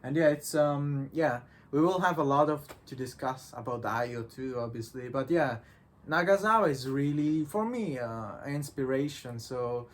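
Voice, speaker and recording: A man's voice: medium pitch, animated, moderate pace. A man in a casual discussion, talking in a hesitant, rambling way with non-native English phrasing. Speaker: visual novel reviewer in a discussion. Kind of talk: casual chat.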